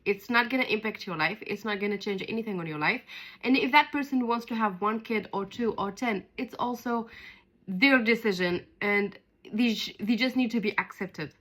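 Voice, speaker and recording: A woman whose first language is Arabic, co-hosting a casual podcast chat in simple English and pausing to search for words. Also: medium pitch, a bit bright. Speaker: podcast co-host.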